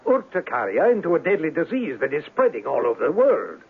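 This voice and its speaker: An actor in a 1970s radio drama playing a grandiose, urgent herald of a great mission, with a raspy voice and pompous, theatrical delivery. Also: male voice, on the high side, wildly swooping pitch, fairly quick, full character voice. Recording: phone-like vintage radio recording.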